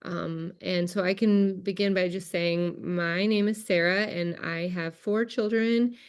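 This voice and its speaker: Relaxed low-ish woman hosting a circle. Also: unhurried, clean tone. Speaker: circle facilitator and host. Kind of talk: casual video call chat. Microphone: video call.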